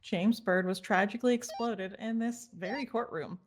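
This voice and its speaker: A young woman playing a prosecutor, giving a measured, formal closing argument in a courtroom roleplay. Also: medium pitch, fairly even intonation.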